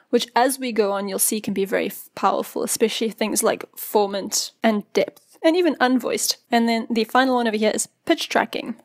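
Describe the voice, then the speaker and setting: A woman narrates a software tutorial in a fairly high voice. She explains each step informally, and the recording is close and dry, with very little room sound. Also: no echo. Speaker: tutorial presenter.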